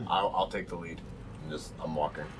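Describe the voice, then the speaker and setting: A man's voice: low voice, fairly even intonation, fairly quick. A man chatting and joking casually as a player in a tabletop roleplaying game. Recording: clearly noisy room sound.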